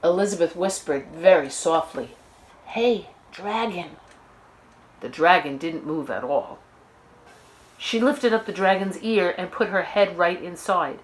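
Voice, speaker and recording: A woman's voice: fairly low pitch, normal speed, a little hiss or hum. A woman storyteller reading a children's book aloud, expressively and with dramatic emphasis.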